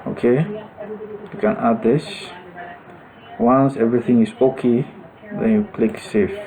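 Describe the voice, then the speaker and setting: Male tutorial presenter, mid-pitched and relaxed, slow halting delivery, improvising a step-by-step screen demo. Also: poor audio. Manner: halting, thinking aloud as he goes. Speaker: software tutorial instructor. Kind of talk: unscripted step-by-step screen demonstration.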